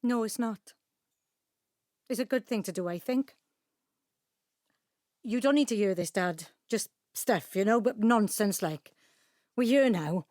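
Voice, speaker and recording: An actress playing an anxious, peacemaking middle-aged daughter with a Welsh accent, earnest and placating, in a naturalistic audio drama. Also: female voice, mid-range voice, measured pace, long pauses, a little mellow, no room sound, clean studio sound, natural voice.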